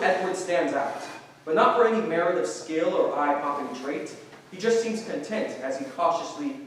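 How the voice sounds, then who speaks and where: A man reads scripted stage directions aloud as the narrator of a staged reading. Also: fairly high pitch. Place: large echoey room, live staged reading. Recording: very echoey room, distant mic, faint noise.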